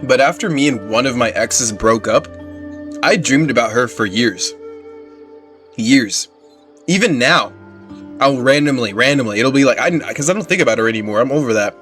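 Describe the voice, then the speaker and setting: A man's voice: mid-range voice, animated, moderate pace, fairly bright tone. A man giving casual, slangy and candid reaction commentary as he plays a video game. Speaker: gamer reacting to a game playthrough. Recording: game music behind the voice. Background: video game music.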